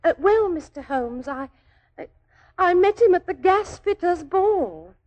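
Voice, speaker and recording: High-voiced, naive young English typist. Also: female voice, animated, measured pace, lo-fi sound. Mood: chatty, earnest. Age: young adult. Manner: earnest, chatty, hesitant, ungrammatical. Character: naive, deferential young working-class London typist in love. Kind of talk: 1940s radio drama acting.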